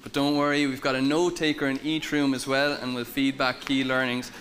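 A man's voice: mid-range voice, little pitch movement, a little fast, fairly bright tone, slight background noise. A young man, co-hosting a conference. He reads out notices from the stage in an upbeat, informal way. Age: young adult. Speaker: conference co-host. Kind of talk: hosting announcements. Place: stage of a large conference hall.

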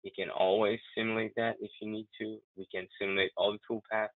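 An eager man talks through a software demo in a low voice, excited about what he shows and often stumbling into restarts. The sound is thin and phone-like, with a dry, close feel. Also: fast, no room sound. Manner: enthusiastic, chatty, many restarts. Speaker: software demonstrator. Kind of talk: live software demo walkthrough. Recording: tinny phone-like, dry audio.

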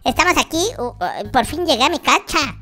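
Whiny baby boy, very high, swooping pitch, childish game roleplay chatter. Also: lively intonation, moderate pace, sharp tone, faint music behind. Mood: silly, chatty. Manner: childish diminutives, exclaiming, addresses viewers constantly. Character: whiny, silly, naive noob baby boy. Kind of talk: in-character Minecraft roleplay commentary.

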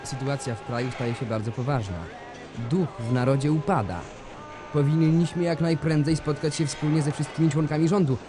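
An actor playing a nervous, eager-to-please male secretary of state in a radio drama, quick to agree with his superior. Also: fairly high pitch, steady tone, over music, natural voice. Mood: grave.

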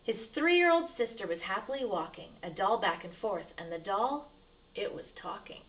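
A woman reads a rhyming picture book aloud for children. Her delivery is dramatic and emphatic, at a medium pitch. She sounds a little distant from the microphone. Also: very animated, moderate pace, fairly bright tone, slight background noise. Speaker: read-aloud storyteller.

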